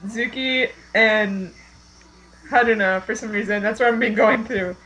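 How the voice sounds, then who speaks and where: A giggly, excitable woman reacting casually as a fan podcast co-host. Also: fairly high pitch, a little slow, dull audio. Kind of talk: casual chat, reacting to a music video.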